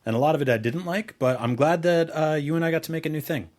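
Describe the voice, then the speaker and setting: A man's voice: fairly high pitch, a little mellow. Casual, rambling man co-hosting a podcast. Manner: rambling, halting, full of colorful comparisons. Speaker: podcast co-host, video host and writer. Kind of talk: casual conversational podcast chat.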